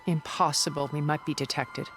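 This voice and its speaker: An actor playing a secretive male schemer in a video game, speaking in a measured, composed way. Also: on the high side, little pitch movement, a bit bright, faint music behind. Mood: cold. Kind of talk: video game cutscene acting.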